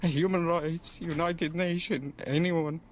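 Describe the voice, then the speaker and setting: A man's voice: on the high side, fairly even intonation, slow. A man from Syria giving a pleading, repetitive personal testimony in an amateur video appeal. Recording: noisy amateur video clip.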